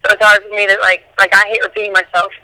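Hoarse-voiced woman in casual chat. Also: medium pitch, little pitch movement, very fast, bright, forceful tone, no room sound, rough recording. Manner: mumbles, talks in loose restarts. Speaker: singer and guest.

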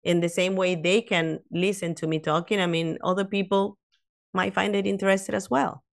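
A woman's voice: mid-range voice, moderate pace, vocal fry. A woman in her fifties, not a native English speaker, chatting as a guest on a podcast and telling stories with many restarts and asides. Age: 50s. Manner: animated storyteller, frequent restarts and "you know". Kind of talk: casual interview chat.

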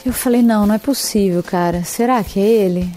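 A woman from Brazil telling her own story in casual, animated speech, jumping up in pitch as she acts out her past self. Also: fairly quick.

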